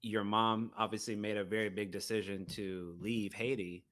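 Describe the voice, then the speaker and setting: Male podcast host; low, bright tone; affirming listener who asks thoughtful follow-ups. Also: little pitch movement, moderate pace. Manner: affirming, asks considered questions. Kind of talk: conversational interview.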